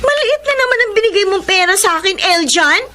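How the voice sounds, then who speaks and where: A hard-up young wife in a radio drama. She is worried and complaining, and her voice sits high. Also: animated, fairly quick, over music. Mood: worried complaint. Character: worried, nagging young wife short of money. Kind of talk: Filipino radio drama acting.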